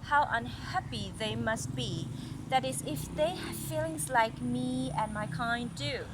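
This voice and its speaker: A woman's voice: fairly high pitch, very noisy, lightly acted. A woman voicing a vain, condescending apple branch in a storybook, with haughty, emphatic pity. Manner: haughty, pitying, slow and emphatic.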